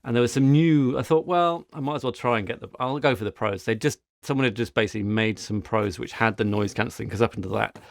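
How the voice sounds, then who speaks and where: A man's voice: mid-range voice, fast, creaky, hardly any pauses, dry recording, studio quality. A man co-hosting a podcast, telling a story in casual, rambling chat with frequent restarts. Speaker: podcast co-host.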